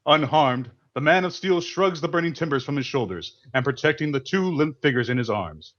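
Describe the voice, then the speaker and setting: A man narrates a scripted old-time radio play. His voice is a bit higher than most men's, and the internet call leaves it dull and lo-fi. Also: lively intonation, a little fast, a bit bright.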